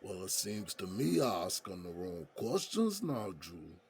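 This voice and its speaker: Man playing a gravelly, streetwise American jailhouse mentor. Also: sits low, sing-song, unhurried, slight background noise, heavily acted. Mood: probing, insistent. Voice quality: husky, gravelly. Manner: folksy street slang, probing rhetorical questions. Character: streetwise, seasoned male prison inmate mentoring a younger friend. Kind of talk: audio drama dialogue.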